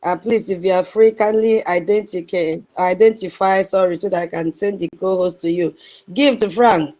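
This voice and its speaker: A woman's voice: medium pitch, normal speed, warm tone. A woman with a Nigerian accent hosts a live online audio room, reeling off greetings and welcomes to each listener in turn. She shifts into a lilting sing-song when she greets people in her local dialect. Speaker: host of an online audio space.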